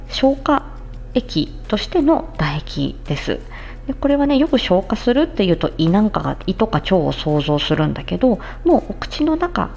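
A woman's voice: medium pitch, darkish tone. A woman giving an explanatory health talk in plain, conversational words, like a podcast host addressing listeners. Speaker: podcast host, speech-language therapist.

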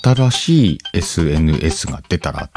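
A man's voice: a bass voice, very animated, moderate pace. A man hosting a solo podcast, chatting casually to his listeners and thinking aloud as he goes. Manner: conversational, thinks aloud, addresses listeners directly. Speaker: podcast host. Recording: background music under the voice.

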